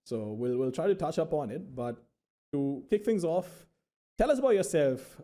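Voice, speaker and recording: A man hosting an interview show, chatty and quick, mixing Hindi and English as he introduces his guest. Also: a little high, big pitch swings, dark tone. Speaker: interview host.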